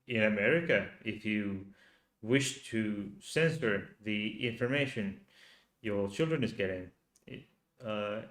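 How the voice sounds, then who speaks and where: A man from Finland with a Finnish accent, a native Finnish speaker, hosting a livestream and talking in slow, halting rhetorical questions to his viewers. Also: on the low side, reverberant. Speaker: channel host. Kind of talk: livestream commentary reading chat.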